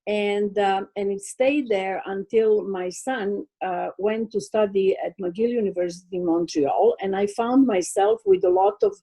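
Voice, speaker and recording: A woman's voice: medium pitch, expressive, vocal fry, dark tone. An older woman from Serbia with a Serbian accent, answering interview questions as a guest artist over a video call, hesitant and full of fillers. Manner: hesitant, many fillers, slow thoughtful pace.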